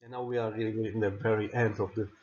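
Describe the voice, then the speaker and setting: A man's voice: lowish voice, little pitch movement, fast talker, darkish tone. A man from the Czech Republic with a Czech accent, a non-native speaker giving a recorded lecture over slides, stumbling over repeated words and fillers. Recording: dull lo-fi audio.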